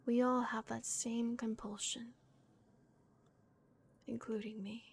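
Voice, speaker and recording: A woman voicing a gentle, remorseful vampire guardian in a breathy, hushed whisper, as an ASMR roleplay. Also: fairly high pitch, level tone, slight background noise. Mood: somber, confessional. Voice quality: breathy, whispered with creaky edges. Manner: slow, hushed, hesitant pauses. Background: dark castle ambience.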